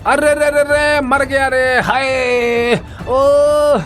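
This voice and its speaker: A man playing a stern but kindly village farmer father in a cartoon moral story, with an expressive rustic drawl. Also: extremely high pitch, drawn-out words, faint music behind, full character voice. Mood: pained. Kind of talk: animated moral story acting.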